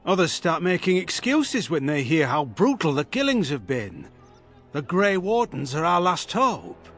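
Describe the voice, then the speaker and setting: An actor playing an anxious merchant envoy in a video game, fretful, earnest and deferential. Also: male voice, on the high side, big pitch swings, unhurried, a bit bright, faint music behind. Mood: worried, urgent. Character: anxious male merchant guild envoy pleading for help.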